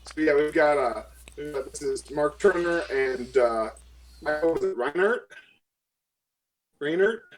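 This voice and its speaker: A man chatting casually and a little haltingly as a player improvising in a tabletop role-playing game. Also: a little high, far from the mic, faint music behind, rough recording.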